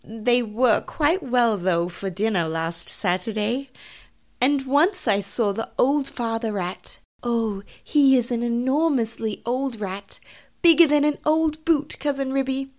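A woman's voice: fairly high pitch, slow, pitched above the performer's own voice. A woman voicing a tearful, fretful mother cat in a dramatic storybook reading, with wailing exclamations. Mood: anxious, tearful.

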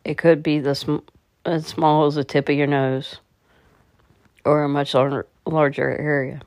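Woman guiding meditation, very even pitch. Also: a little slow, no room sound. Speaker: meditation guide. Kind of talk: guided meditation narration.

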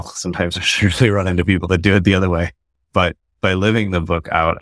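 Man, low voice, podcast guest, earnest explaining, restarts sentences, dry close sound. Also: fairly bright tone, no echo. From United States. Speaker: guest author and company founder. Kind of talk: casual podcast conversation.

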